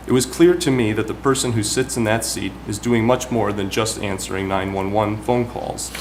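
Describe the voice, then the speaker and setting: A man giving prepared, formal remarks as a town councilman at a public meeting, speaking in a measured, deliberate way. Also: lowish voice. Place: municipal meeting room. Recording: clearly noisy public meeting recording.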